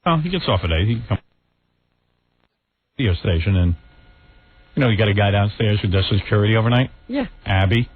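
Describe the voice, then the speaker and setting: A fast-talking male radio host, quick and teasing as he jokes with his callers. His voice is heard over a tinny, narrow broadcast line. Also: on the low side, lively intonation, slightly rough. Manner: fast, teasing, joking talk. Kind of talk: morning radio banter with callers.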